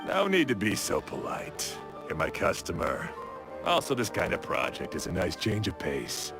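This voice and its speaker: A proud video game blacksmith with a lowish voice that moves expressively. He is exacting and wry, measured in his delivery, and music plays clearly behind him. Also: huge pitch swings, exaggerated voice. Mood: obliging. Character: proud, exacting male blacksmith, gruff but obliging craftsman.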